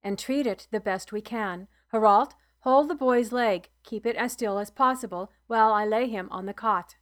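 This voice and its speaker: A calm, gentle male healer who speaks in a measured, instructive way, as a woman reading a story puts him on. His voice is fairly high and stays steady and patient, close to the reader's own. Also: close to an everyday voice.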